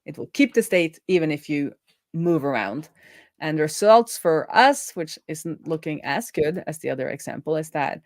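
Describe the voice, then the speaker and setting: A woman's voice: on the low side, no echo, clean studio sound. A woman explaining programming informally as a host, thinking aloud as she goes. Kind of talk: informal live coding explainer.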